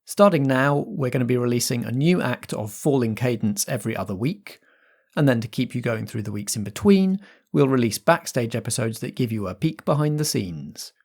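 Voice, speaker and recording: A man's voice: medium pitch, lively intonation, a little fast, darkish tone. A man hosting and game-mastering a tabletop roleplay show, moving between announcements, narration and chat.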